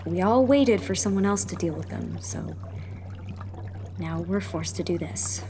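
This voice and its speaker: A woman's voice: on the low side, lively intonation, a little slow, a little hiss or hum. A woman playing a determined young rebel engineer in a sci-fi audio drama, earnest and taking charge as she explains the plan. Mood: grim. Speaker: rebel leader. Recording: loud music over the voice.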